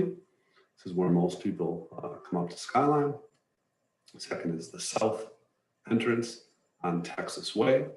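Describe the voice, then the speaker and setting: A deep-voiced man walks through an informational presentation in a measured manner. He sounds set back from the microphone, with clear room echo around his words. His explanations are plain and matter-of-fact. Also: darkish tone. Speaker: presenter to neighborhood residents. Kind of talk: informational slide presentation narration. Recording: roomy echo, distant from microphone.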